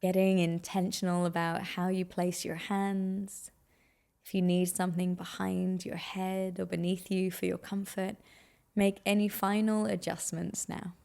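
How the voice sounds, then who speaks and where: A woman leading a guided meditation in a soothing, unhurried manner, giving gentle instructions with pauses. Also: lowish voice, level tone, gravelly.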